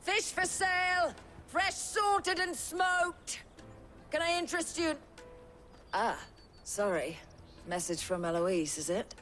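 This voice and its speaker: An actress playing a brisk, frank female fishmonger in a fantasy video game, speaking plainly and colloquially. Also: extremely high pitch, animated, fairly bright tone, soft background music, full character voice. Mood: hawking wares. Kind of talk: dramatic video game acting.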